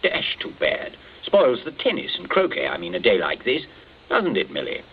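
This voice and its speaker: Bluff neighbourly British military man, clipped and animated; old radio play, muffled with faint noise. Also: fairly low pitch, moderate pace. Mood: bluff, sociable. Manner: clipped, blustering, repeats himself. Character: bluff, hearty British captain, jealous neighbourly suitor. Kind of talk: old-time radio drama acting. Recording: dull, noisy vintage radio recording.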